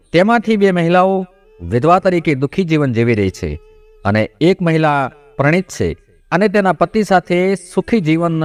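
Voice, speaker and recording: A man narrates a devotional moral story in a measured, expository way, addressing his viewers directly. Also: a little high, no echo. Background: background music.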